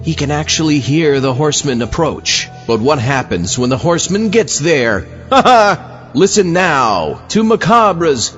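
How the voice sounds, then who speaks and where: A ghoulish, silly male astronaut host put on by a man for a comic horror podcast. He rambles theatrically with very lively pitch, over faint music on a dull recording. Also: unhurried.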